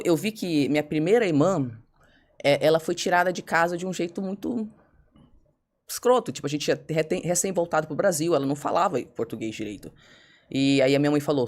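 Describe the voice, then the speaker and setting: Woman, podcast guest. Quick, candid storyteller who acts out her own quotes. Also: deep voice. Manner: fast, animated, acts out her own past words.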